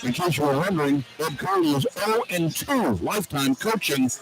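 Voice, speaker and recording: Male sports play-by-play host, high-pitched, conversational live commentary over prominent music. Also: expressive, normal speed.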